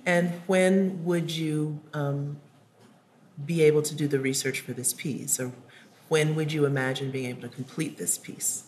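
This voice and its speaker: A woman with a low voice leads a mock interview, asking probing, encouraging questions. She sounds like a trainer in a workshop classroom, picked up on a table mic with a faint hum behind her. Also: steady tone, unhurried, darkish tone. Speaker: writing trainer. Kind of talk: coaching a student in a mock interview.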